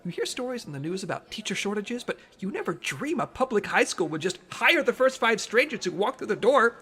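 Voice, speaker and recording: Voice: medium pitch, sing-song, fairly quick, fairly bright tone. Casting: a put-upon undercover agent, very varied delivery, earnest, audio spy comedy. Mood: wry.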